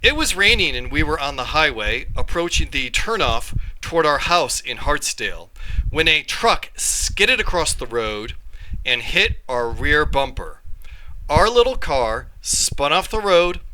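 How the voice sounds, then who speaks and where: Very bright-voiced man reading a story aloud. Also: on the high side, a little hiss or hum, low-quality recording. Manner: animated, dramatic phrasing with pauses. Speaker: read-along storyteller, teacher. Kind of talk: expressive read-aloud of a children's story in first person.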